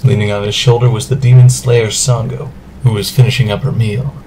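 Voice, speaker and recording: A man's voice: lowish voice, flat delivery, fairly quick, dark tone, a little hiss or hum, rough recording. A man reading scripted narration for an amateur audio drama.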